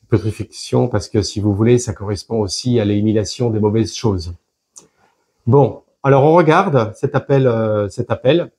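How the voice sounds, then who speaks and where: A man giving an unscripted fortune-telling card reading, explaining to viewers in a hesitant, halting way that often repeats phrases. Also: medium pitch, a little mellow. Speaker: fortune teller, tarot card reader.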